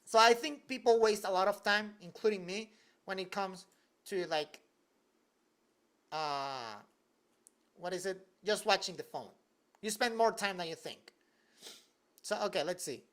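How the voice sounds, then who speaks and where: A man's voice: high-pitched, a little slow, pauses often, fairly bright tone. Joking young man streaming. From Venezuela. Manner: loose and joking, heavy use of "like". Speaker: YouTuber answering live chat. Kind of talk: casual livestream Q&A. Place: home bedroom at his desk.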